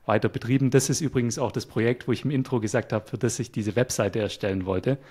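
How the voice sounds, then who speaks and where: A man as an interview guest, calmly explaining his work with a few fillers. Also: mid-range voice, quick pace. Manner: calm, explanatory, some fillers. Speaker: guest, web developer. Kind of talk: casual interview chat.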